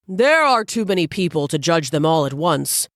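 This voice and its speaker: Woman putting on a rumbling stern god-king. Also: sing-song, moderate pace, no room sound, studio quality. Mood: angry. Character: booming angry male king of the gods.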